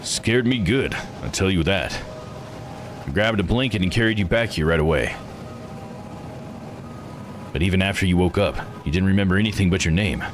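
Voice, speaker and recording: A man voicing a blunt, plain-spoken man from a visual novel game, gruff and probing. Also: low-pitched, moderate pace, pauses often, music in the background, lightly acted.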